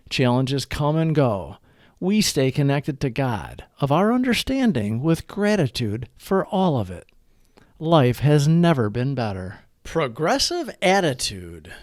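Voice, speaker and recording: A man with a somewhat high-set voice reads a daily meditation aloud. His delivery is earnest and measured in its phrasing, with very varied, animated intonation. The sound is dry, with almost no room in it. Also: no room sound. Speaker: narrator of recovery readings.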